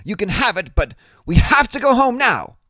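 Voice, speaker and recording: A man's voice: sits high, very animated, moderate pace, bright, forceful tone, no room sound, voice pushed up, full character voice. A man voicing a small, brainy, nervous boy in an audiobook, over-polite, with formal and hesitant wording. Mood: urgent pleading.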